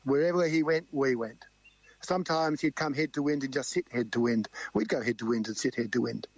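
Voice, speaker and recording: A man with a loud voice, telling a vivid race story in a radio interview. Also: mid-range voice, fairly quick, no echo, dull audio. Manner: animated, vivid storytelling with quoted crew talk. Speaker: sailing crew member guest.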